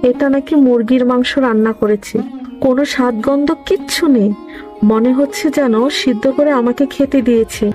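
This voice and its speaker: Woman acting spiteful village mother-in-law, high-pitched, accusing, self-pitying, cartoon dub, faint music behind. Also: steady tone, moderate pace, mellow, natural voice. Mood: bitter, sarcastic. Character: spiteful, complaining middle-aged mother-in-law of a village household. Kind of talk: Bengali fairy-tale cartoon dub.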